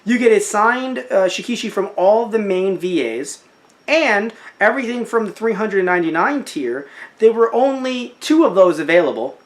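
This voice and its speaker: A young man co-hosting a casual podcast, animated and joking, with mocking asides. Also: sits high, moderate pace. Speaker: podcast co-host. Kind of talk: casual chat about a crowdfunding campaign.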